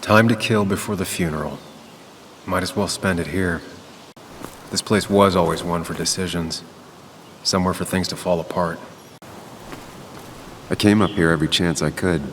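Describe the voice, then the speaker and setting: An actor playing a melancholy, cynical former journalist in a subdued, introspective game monologue. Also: male voice, deep voice, fairly even intonation, moderate pace, pauses often, fairly bright tone, slight background noise. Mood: brooding, reflective. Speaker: game protagonist narrator. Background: music.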